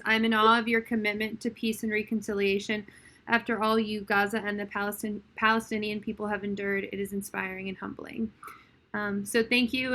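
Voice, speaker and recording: Bright, flat-toned woman moderator. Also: on the high side. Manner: polite, reads questions out. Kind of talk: reading questions at an event.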